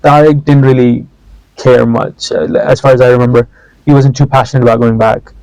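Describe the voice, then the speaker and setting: A man's voice: mid-range voice, level tone, a little mellow, no echo, low-quality recording. A man discussing a novel on a podcast, reasoning through his view step by step. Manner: measured, reasoning step by step, says "like" often. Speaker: podcast guest. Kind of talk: casual book discussion.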